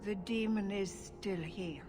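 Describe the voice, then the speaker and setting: An actress playing an older elven clan leader, solemn and measured, in a video game cutscene. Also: female voice, medium pitch, over music, natural voice. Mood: grave resolve. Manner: grave, measured. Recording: long hall reverb, faint noise.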